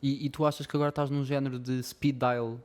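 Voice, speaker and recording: A man's voice: medium pitch, flat delivery, quick pace, a little mellow. A man with a European Portuguese accent hosting a casual studio interview, asking friendly, joking questions. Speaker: podcast host.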